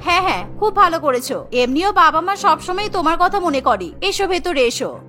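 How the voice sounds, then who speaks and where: A woman voicing a gentle, earnest mother in a cartoon, coaxing and pleading. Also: very high voice, animated, fairly quick, soft background music, heavily acted. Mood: welcoming.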